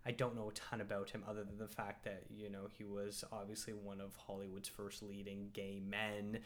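A man hosting a casual film discussion, talking thoughtfully and hesitantly with many pauses. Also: on the low side, completely flat delivery. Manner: thoughtful, hesitant, lots of fillers and restarts. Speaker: podcast host and film reviewer.

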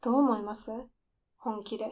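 A woman's voice: on the high side, fairly even intonation, a little fast, darkish tone, natural voice. A woman playing a desperate, defiant aspiring scriptwriter who needles in polite speech, in a radio drama. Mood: desperate, resolute. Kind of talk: radio drama dialogue acting.

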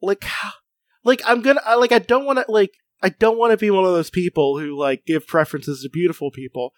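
A man's voice: high-pitched, brisk, dry recording. A man co-hosting a podcast in casual, playful chat, rambling with many fillers. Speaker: podcast co-host.

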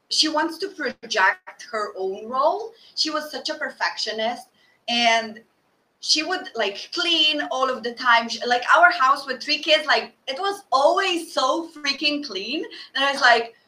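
A woman hosting a podcast speaks with a bright, fairly high voice, lively and animated. She sounds distant from the mic in an echoey room. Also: slow. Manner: lively, expressive, animated retelling. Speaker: podcast host. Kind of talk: conversational podcast interview.

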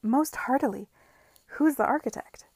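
A woman doing a lively, helpful young woman. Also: high-pitched, moderate pace, slightly rough, no room sound, voice pushed up. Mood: eager.

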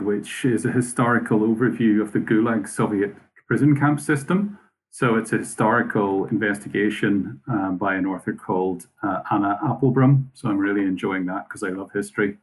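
A man from Northern Ireland with a Northern Irish accent, a guest telling his story in a measured, matter-of-fact way over a video call. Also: lowish voice, poor audio. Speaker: mission worker, guest. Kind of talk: conversational interview answers.

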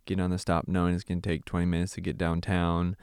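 Guy from california in his 30s, earnest reflective talk, low resonant voice. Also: completely flat delivery, quick pace, a little mellow, clear, resonant tone, no room sound, studio quality. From California, USA. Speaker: missionary, ministry partner. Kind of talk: casual podcast conversation.

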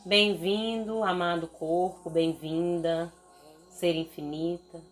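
A woman with a Brazilian Portuguese accent leading a guided meditation in a soothing, deliberate manner, leaving long pauses between phrases. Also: lowish voice, fairly even intonation, soft background music.

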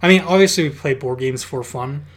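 Mid-pitched male podcast co-host; easygoing, filler-heavy chat. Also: fairly quick. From Utah. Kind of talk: casual chat.

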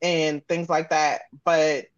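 A woman's voice: low voice, little pitch movement, slow, no room sound, highs cut off. A woman with an American accent chatting casually as an interview guest, talking informally in loose, run-on thoughts. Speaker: guest, audio drama creator and sound designer.

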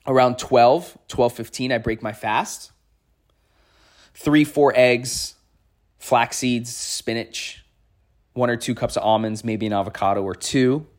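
A man's voice: mid-range voice, steady tone, slow pace. Emphatic American man in his thirties. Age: 30s. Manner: emphatic, repeats phrases for stress. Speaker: podcast host and life coach. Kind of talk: solo impromptu motivational podcast monologue.

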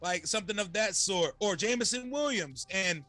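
A slightly hoarse man, a guest content creator, talking energetically and casually on a podcast. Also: high-pitched, soft background music. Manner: energetic, slangy, fast-flowing.

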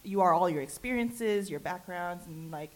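A woman's voice: fairly low pitch, moderate pace, slight background noise. A woman writer speaking on a panel, rambling with many restarts and fillers. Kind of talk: panel discussion.

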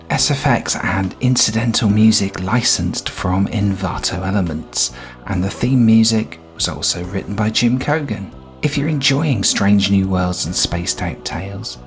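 A male podcast announcer reads scripted credits in a low voice. Music plays lightly behind him.

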